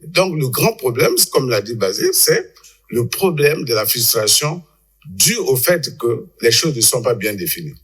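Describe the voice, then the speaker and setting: A man giving unscripted political analysis as a panel guest, haltingly, repeating words as he argues. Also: mid-range voice, big pitch swings, a bit bright.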